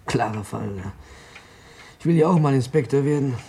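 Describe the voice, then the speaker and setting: Husky, grumbling junior detective. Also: male voice, medium pitch, lively intonation, moderate pace, dark tone. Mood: weary, grumbling. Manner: informal, grumbling, deferential to his chief. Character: grumbling but dutiful junior police detective. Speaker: actor in a radio drama. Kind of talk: German radio play acting.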